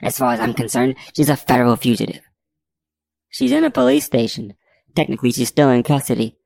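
Woman doing a deep, brash male police chief. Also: very animated, a little fast, gravelly, darkish tone, no echo, exaggerated voice. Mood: vindictive. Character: blustering, defiant male local police chief.

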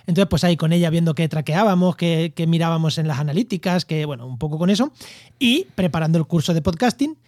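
A man's voice: on the high side, fast, darkish tone, no echo, studio quality. A man from La Mancha in Spain, hosting a podcast in a casual, chatty style. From Albacete, La Mancha, Spain. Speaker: podcast host.

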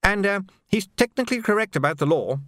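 A man voicing a cautious army captain who gives measured, dry advice. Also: high-pitched, lively intonation, a bit bright, no room sound, voice pushed up.

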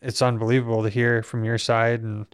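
A young man from Minnesota, hosting a casual conversation and speaking in a steady, reflective way, with a voice that is not very strong on breath. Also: mid-range voice, a little fast, clear, resonant tone, no echo, studio quality. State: weak breath support. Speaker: podcast host.

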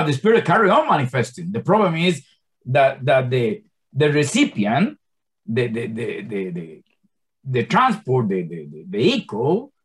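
A man in his sixties teaching scripture to a small group in non-native English. His pitch swings widely as he presses his points, and he often stumbles and restarts his phrases. The grammar slips are constant, but his delivery stays earnest and fervent. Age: 60s. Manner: impassioned, many stammered restarts. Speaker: Bible teacher.

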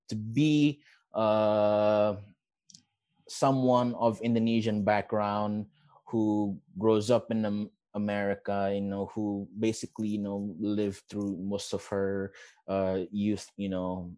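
A man's voice: fairly low pitch, mellow. A young man hosting a podcast interview, speaking hesitantly with many filler words. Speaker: podcast host.

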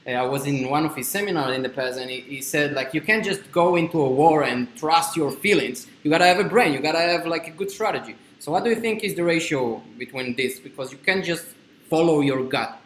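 A man's voice: a little high, fairly quick. A man from Israel with an Israeli accent, interviewing a guest and telling his own story in earnest, sometimes groping for words. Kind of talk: interview questions and personal story. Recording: echoey room with faint ambient noise.

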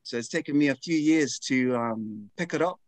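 A man's voice: medium pitch, normal speed, no room sound. A man with a mid-range voice talks as a podcast guest. His voice is recorded close and dry. He sounds earnest and candid, with a self-deprecating touch. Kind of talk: casual podcast chat.

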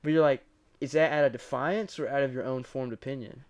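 A man's voice: mid-range voice, fairly even intonation, brisk, a little mellow. A young man co-hosting a casual podcast, laid-back and chatty, dropping "like" and "bro" often. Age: young adult. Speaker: podcast co-host. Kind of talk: casual chat.

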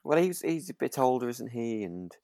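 A British man co-hosting a podcast in relaxed casual chat, rambling a little hesitantly and sounding amused. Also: mid-range voice, moderate pace, dry recording, studio quality. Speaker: podcast co-host.

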